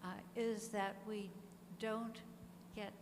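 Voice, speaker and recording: A woman offering reflections in a group discussion, measured and deliberate, pausing often between phrases. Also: mid-range voice, level tone. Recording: echoey hall with clear background noise.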